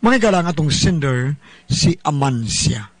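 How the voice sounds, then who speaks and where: A man with a Visayan Filipino accent presenting a radio drama, greeting listeners and introducing in a deliberate manner. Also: on the high side, big pitch swings, over loud music.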